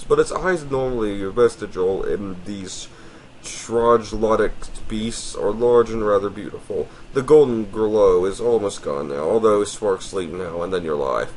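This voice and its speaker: A man with a deep voice hosting a let's play, chatting casually and reading game text aloud in a polite, apologetic way that addresses the viewer formally. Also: slight background noise, poor audio.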